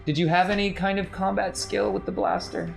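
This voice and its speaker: A man's voice: high voice, steady tone, brisk, gravelly. A man acting as game master, casually narrating a tabletop game with playful asides. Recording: music behind the voice.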